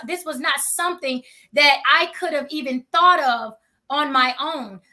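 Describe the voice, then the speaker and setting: A woman's voice: sits high, bright, forceful tone. A young woman, a company founder, answering interview questions earnestly, often saying you know. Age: young adult.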